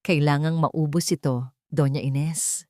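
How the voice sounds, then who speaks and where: A woman's voice: deep voice, fairly even intonation, unhurried, warm tone, no room sound, clean studio sound. Woman acting as a stern, commanding head nurse. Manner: firm, commanding.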